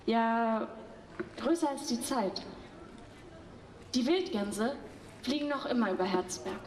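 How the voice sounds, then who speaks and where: A woman's voice: on the high side, expressive, takes it slowly, a little hiss or hum. Woman reciting on an echoey stage. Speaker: amateur stage actor. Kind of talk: amateur stage play.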